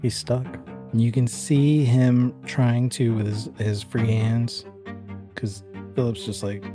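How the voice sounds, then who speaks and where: A man's voice: mid-range voice, level tone, slow pace, music in the background. A man narrating an improvised tabletop horror game as game master, in a casual, slangy and vivid way.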